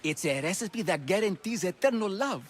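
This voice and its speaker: An actor playing a gushing, lovesick ancient Greek athlete in a video game, fretful and theatrical. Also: male voice, high-pitched, expressive, normal speed, slight background noise. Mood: scheming, besotted. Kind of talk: video game cutscene acting.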